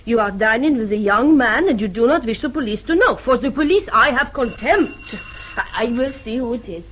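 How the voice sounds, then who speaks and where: An actress playing a fond, wily Austrian housekeeper in a radio play, with deliberate, conspiratorial phrasing and a German-speaking Austrian accent. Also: female voice, a little high, lively intonation, fairly bright tone, slight background noise, poor audio. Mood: contemptuous. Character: warm, wily Austrian housekeeper, defiant of police. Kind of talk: radio drama acting.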